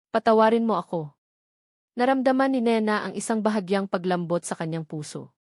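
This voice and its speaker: A woman's voice: medium pitch, a little mellow, dry recording, clean studio sound. A woman with a Filipino accent reading a scripted romance story as its narrator.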